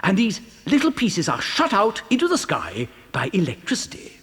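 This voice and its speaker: A man playing an eccentric, excitable chocolate factory owner and inventor in a children's audio drama, theatrical, with stammering, hesitant repetitions. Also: sits high, moderate pace, heavily acted. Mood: showy, explaining. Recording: long hall-like reverb.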